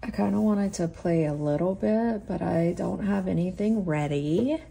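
Croaky low-voiced woman rambling through an unboxing. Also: normal speed, dark tone. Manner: rambling, thinking aloud with many fillers. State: croaky from a sore throat. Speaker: nail art vlogger. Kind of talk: casual unboxing chat. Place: tabletop crafting desk, camera overhead.

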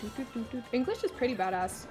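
A young woman with a French Canadian accent, French her first language, talks to her stream in a fairly high, excitable voice. She swears freely, hums little tunes and bursts into exclamations, with faint game music playing underneath. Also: fast. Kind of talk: casual live-stream chat while gaming.

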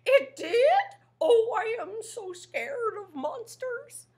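A woman's voice: sits high, sing-song, a little slow, fairly bright tone, full character voice. A woman voicing a fearful little male monster in a storybook read-aloud, pleading, panicky and wailing. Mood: worried.

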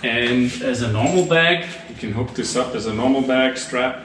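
A man's voice: mid-range voice, normal speed, a bit bright. German man in an echoey room. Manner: chatty, with many fillers and restarts. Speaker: vlogger. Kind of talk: casual gear walkthrough. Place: large tiled room indoors, then inside a truck garage. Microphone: camera mic from a few meters off. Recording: echoey room, distant camera mic, noisy.

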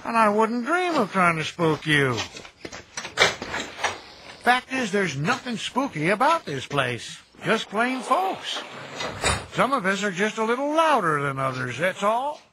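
An actor playing a kindly, folksy older cemetery caretaker in an audio drama, chatty and gentle in a homespun, nostalgic way. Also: male voice, high voice, big pitch swings, measured pace, noisy background. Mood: warm, chatty. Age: middle-aged. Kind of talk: naturalistic radio drama dialogue.

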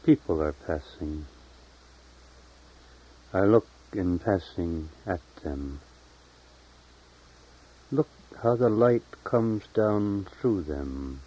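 Very slow, deep-voiced man reading poetry. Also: dark tone, husky. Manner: measured, deliberate phrasing with long pauses. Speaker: poet reading own work. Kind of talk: poetry reading, solemn and unhurried. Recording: dull lo-fi 1970s tape recording.

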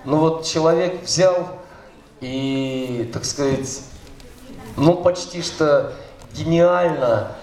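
A man's voice: medium pitch, darkish tone. A middle-aged man talks loosely into a handheld mic on a hall stage, drifting through fillers and restarts. His voice sits mid-range for a man. It comes from a distance, with the room ringing around it. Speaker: seminar speaker, dubbing director. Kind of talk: informal seminar talk. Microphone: handheld mic, filmed from the audience. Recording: echoey hall, recorded from far off.

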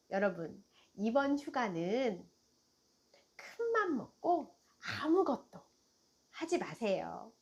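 Middle-aged female host, daycare director giving parents soft advice; a bit high, slow and melodic. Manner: slow, gentle, sing-song delivery. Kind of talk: warm advice talk to parents.

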